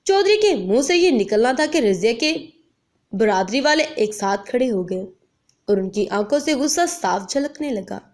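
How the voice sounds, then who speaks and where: A woman's voice: on the high side, expressive, normal speed, a little mellow. A woman narrating a scripted dramatic story as a storyteller.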